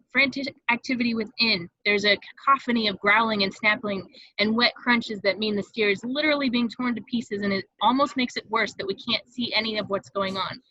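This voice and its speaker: A woman's voice: medium pitch, steady tone, fairly quick, few pauses, bright, forceful tone, no echo. A woman reading stage directions as the narrator of a script table read, in a slightly dull, dry home recording.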